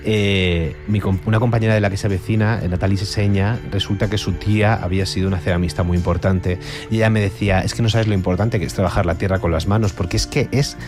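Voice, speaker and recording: A young man from Almería speaks in a deep, low register with only a faint regional accent. He thinks aloud, circling back on his words and tagging phrases with questions. Soft music plays faintly behind him. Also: steady tone, quick pace. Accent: Almería Spanish, mostly neutral. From Spain. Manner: reflective, with frequent restarts and tag questions. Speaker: guest author. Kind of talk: radio interview.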